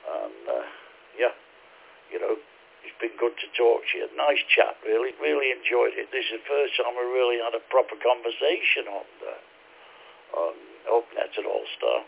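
A man's voice: mid-range voice, big pitch swings, normal speed, gravelly, husky. A man, losing his voice from a bad chest, chatting over amateur radio. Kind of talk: casual radio chat. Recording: radio transmission, phone-like narrow band.

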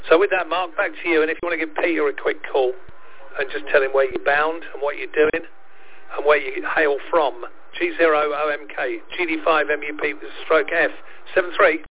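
A man with a croaky voice hosting a casual amateur radio chat, friendly and hesitant with fillers. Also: a little high, fairly even intonation, fairly quick. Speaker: radio net host. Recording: tinny radio link with faint hiss.

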